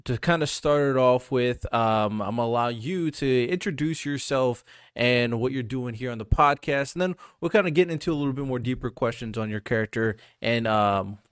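A man's voice: mid-range voice, moderate pace, clean tone, no echo, clean studio sound. A man hosting a podcast, introducing things in a casual, loosely phrased way. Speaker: podcast host.

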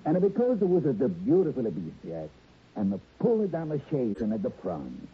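An emotional Italian shopkeeper talks in halting, exclamatory broken English, his pitch leaping about. It is old radio drama with a humble immigrant craftsman, muffled in sound over a noisy recording. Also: huge pitch swings. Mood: distressed.

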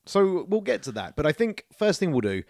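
Man with very animated pitch, bantering casually. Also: a little fast, dry recording, clean studio sound. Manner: sweary, joking, informal. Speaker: podcast co-host. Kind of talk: casual banter about films.

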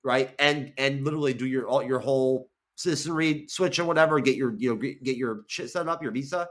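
A man's voice: mid-range voice, a little fast. 41 yr old guy rambling on a video call, cusses easy, keeps restarting. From Florida. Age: 40s. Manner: rambling, restarts a lot, swears casually. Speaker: guest, content creator. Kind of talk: casual interview chat.

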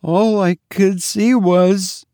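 A man's voice: sits high, steady tone, drawn-out words, dark tone, dry recording, clean studio sound, voice pushed up. A man voicing a terrified housemaid in a storybook reading, gasping, faint and hesitant with horror. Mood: horrified, hesitant.

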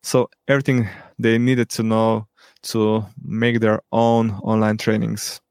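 Slow, gravelly man with frequent ums. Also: fairly low pitch, level tone, a little mellow, no room sound, clean studio sound. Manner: hesitant, many ums and pauses. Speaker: teacher and podcast guest. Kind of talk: unscripted interview answers.

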